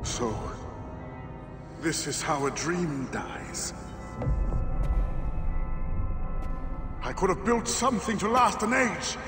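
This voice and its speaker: An actor playing a proud, defeated villain of a secret order in a video game, declaiming with grandiose bitterness. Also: male voice, sits high, big pitch swings, a little slow, roomy sound, in a large hall, over loud music. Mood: bitter, resigned. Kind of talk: dramatic video game acting.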